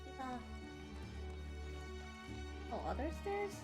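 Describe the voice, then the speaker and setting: A woman's voice: high voice, very animated, takes it slowly. A young woman, chatting playfully and exclaiming as she live-streams a game. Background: game music.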